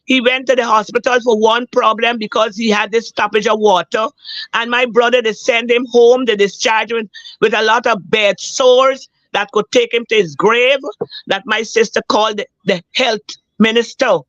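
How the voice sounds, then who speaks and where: A hoarse woman, calling a talk show and earnestly telling a personal story, repeating herself for emphasis. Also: a little high, steady tone, moderate pace, fairly bright tone, dry recording, dull audio. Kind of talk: emotional personal account.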